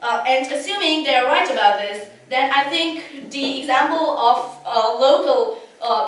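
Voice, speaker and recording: High-voiced young woman debating in an echoey hall. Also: a bit bright, far from the mic. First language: not English. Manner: earnest, with frequent restarts. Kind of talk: competitive debate speech.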